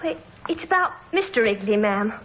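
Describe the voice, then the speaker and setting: An actress playing a timid, respectful young country housemaid in an old-time radio drama, speaking with deferential hesitation. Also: female voice, a little high, very animated, moderate pace, lo-fi sound. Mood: nervous, hesitant. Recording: noisy old radio recording.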